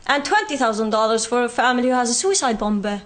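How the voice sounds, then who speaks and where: A young woman with a Palestinian British accent, giving an earnest talk on her own experience, often pausing with fillers. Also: on the high side. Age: young adult. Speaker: guest speaker. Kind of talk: university talk from personal experience.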